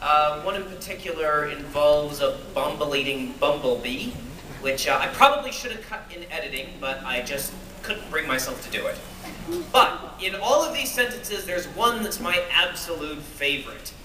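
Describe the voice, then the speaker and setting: High-voiced man in an echoey hall. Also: lively intonation, moderate pace, pushed and bright. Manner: playful and self-mocking, with jokes and laughs. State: jet-lagged. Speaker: author reading at a fan event. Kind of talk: live talk and reading to an audience. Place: convention hall with an audience. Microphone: phone or camera recording from the audience. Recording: echoey hall, voice from far off, noisy.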